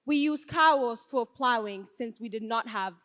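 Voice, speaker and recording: A woman from Ethiopia telling a true story on stage to a live audience, in a slow, deliberate way. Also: a little high, a bit bright. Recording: long hall reverb on stage.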